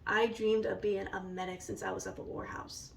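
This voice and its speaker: A woman with a raspy, allergy-hoarse voice, slowly and hesitantly showing a scrapbook in a casual vlog. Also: mid-range voice, flat delivery, far from the mic, very noisy. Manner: slow and hesitant, many ums. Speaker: vlogger. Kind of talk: casual show-and-tell vlog.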